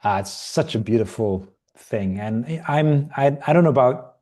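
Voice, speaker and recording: A middle-aged man from Britain who grew up partly in Asia, talking casually as an interview guest in a reflective, halting way. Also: medium pitch, animated, dark tone. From Britain, raised partly in Asia. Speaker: podcast guest, yoga and qigong teacher trainer.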